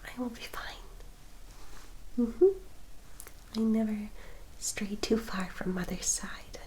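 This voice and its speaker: Slow, whispering woman as a gentle vampire attendant. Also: medium pitch, steady tone, lightly acted. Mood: gentle, reassuring. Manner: hushed, unhurried, careful, slightly formal. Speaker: ASMR roleplay performer. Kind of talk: whispered ASMR roleplay.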